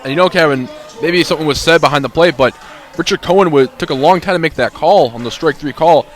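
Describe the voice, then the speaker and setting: Fast-talking male sports color commentator. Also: fairly high pitch. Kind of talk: conversational live sports analysis.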